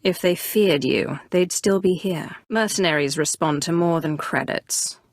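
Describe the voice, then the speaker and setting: A woman playing an imperious, calculating Sith sorcerer in a video game, with a measured, haughty and coolly threatening delivery. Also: lowish voice, no room sound, clean studio sound. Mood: dry, calculating. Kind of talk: scripted game character dialogue.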